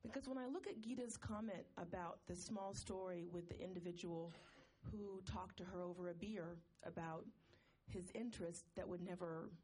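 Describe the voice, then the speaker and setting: A woman's voice: fairly low pitch, dark tone, breathy edge. Earnest woman speaking from the audience. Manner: earnest, measured, building a long point. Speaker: audience member asking a question. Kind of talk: earnest public comment at a panel. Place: conference hall audience.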